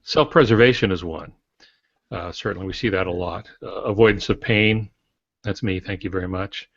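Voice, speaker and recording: A man's voice: deep voice, moderate pace, dry recording. An older man co-hosting a podcast, chatting casually and conversationally. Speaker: podcast co-host. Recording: dry, dull lo-fi audio.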